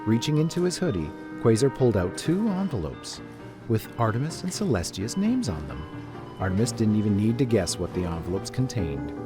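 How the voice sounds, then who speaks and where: A man's voice: fairly low pitch, very animated, fairly quick, music in the background. A man reading scripted story narration in the third person as the narrator.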